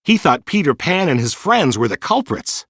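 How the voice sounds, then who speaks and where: A man reading a children's storybook in an expressive, clearly paced storytelling manner. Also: a little high, normal speed, no echo, clean studio sound. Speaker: narrator. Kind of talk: scripted children's storybook narration.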